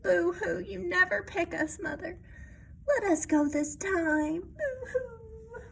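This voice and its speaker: A woman's voice: very high-pitched, takes it slowly, gravelly, a bit bright, a little hiss or hum, pitched above the performer's own voice, heavily acted. A woman voicing anxious, imaginative little kittens in a children's picture book, in a worried, childlike manner. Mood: tearful pleading.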